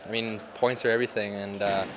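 A man's voice: lowish voice, little pitch movement, fairly quick. A young man, a hockey player giving casual postgame answers into a handheld microphone. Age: young adult. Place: indoors before a sponsor backdrop at an arena. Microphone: handheld microphone held by interviewer. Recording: faint ambient background noise.

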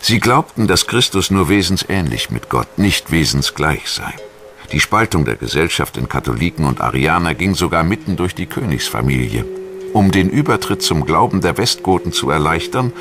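A man reading scripted documentary narration in a measured, formal manner, as a studio voice-over. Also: sits low, lively intonation. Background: music.

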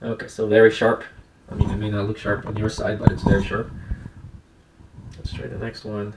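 Low-voiced man reviewing gadgets, noisy recording. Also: completely flat delivery, normal speed. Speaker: product reviewer. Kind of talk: casual unboxing review commentary. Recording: clear background noise with clicks.

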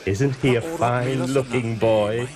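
A man's voice: medium pitch, fairly even intonation, measured pace, over a noisy crowd, natural voice. An actor playing an eager, proud king in a cartoon, with a regal, exclamatory delivery. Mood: delighted, proud. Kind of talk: animated film voice acting.

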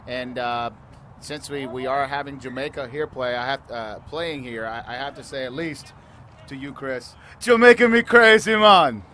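A man's voice: mid-range voice, normal speed, pushed and bright, a little hiss or hum. A man commentating a youth rugby match at an outdoor field, explaining the rules and lifting his voice on big plays. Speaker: play-by-play commentator. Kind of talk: live sports commentary.

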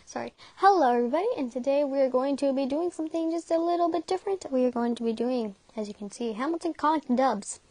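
High-voiced, awkward, giggly young woman. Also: fast, hardly any pauses, a little mellow, no echo. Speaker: video creator dubbing fan comics. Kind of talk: casual, rambling vlog commentary.

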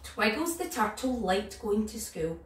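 A middle-aged woman with a Northern Irish accent leading story time for young children in an animated way. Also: mid-range voice, a little slow. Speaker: nursery storyteller. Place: living room at home. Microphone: camera a few metres away. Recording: roomy, distant mic, background noise.

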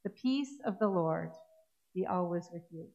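A middle-aged woman priest leading a church service, reading set prayers in a measured, solemn way. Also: on the low side, expressive, vocal fry, darkish tone. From Canada. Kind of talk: liturgical reading. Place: church sanctuary with congregation. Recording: dull lo-fi livestream sound.

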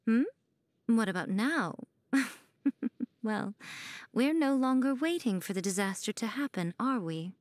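Sly, teasing shrine maiden. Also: female voice, a little high, lively intonation, unhurried, a little mellow, dry recording. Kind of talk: video game character voice line.

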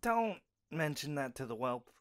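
A man playing a reserved, serious werewolf pack member in an audio drama, low-key and cautioning. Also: sing-song, unhurried, a bit bright. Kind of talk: audio roleplay drama acting.

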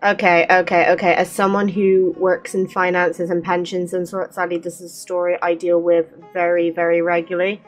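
Young woman, lowish pitch, candid rambling gamer chat, close mic, faint music behind. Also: level tone, normal speed, hardly any pauses. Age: 20s. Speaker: streamer playing a game. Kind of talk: casual chat over gameplay, reading dialogue aloud. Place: small bedroom with gaming setup. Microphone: close desk microphone. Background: game music.

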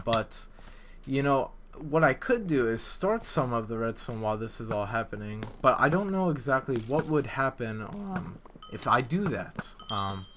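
A man's voice: mid-range voice, a bit bright. A man giving casual, unscripted commentary as a game developer, explaining things in a hesitant, rambling way. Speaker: video game map developer and video maker. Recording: clear background noise with clicks.

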